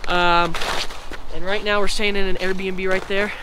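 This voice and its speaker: A young man vlogging casually from a rented holiday home, talking in a relaxed, chatty way with laid-back slang. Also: high-pitched, unhurried, background noise. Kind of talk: casual travel vlog.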